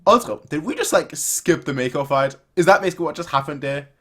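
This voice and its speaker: A man's voice: on the high side, fast talker. A man in his twenties giving casual, chatty reaction commentary, often rambling and repeating his phrases. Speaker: reaction video host. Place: small bedroom, indoors.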